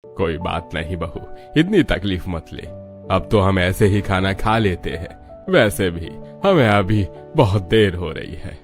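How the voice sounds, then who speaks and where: Man acting a deep-voiced, resigned father-in-law. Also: expressive, a little slow, faint music behind. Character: older father-in-law, grumbling but resigned. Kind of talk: acted dialogue in a moral story.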